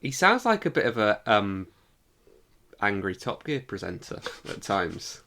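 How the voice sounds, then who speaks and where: A man's voice: on the low side, big pitch swings, a little slow, pushed and bright, a little hiss or hum. A man co-hosting a podcast, chatting casually as he reviews things. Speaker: podcast co-host.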